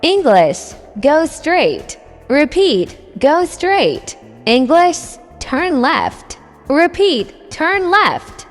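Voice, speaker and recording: A woman teaching a scripted beginner language drill, saying each phrase twice in a deliberate way. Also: high-pitched, very animated. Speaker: English teacher. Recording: long reverb with faint music.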